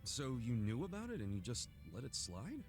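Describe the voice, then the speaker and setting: An earnest, kind-hearted brawler in a game cutscene. His mid-pitched voice swings expressively up and down, frank and informal, with music playing behind him. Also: wildly swooping pitch, normal speed. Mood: curious. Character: earnest, soft-hearted adult male street brawler. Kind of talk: English video game cutscene acting. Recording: music under the dialogue.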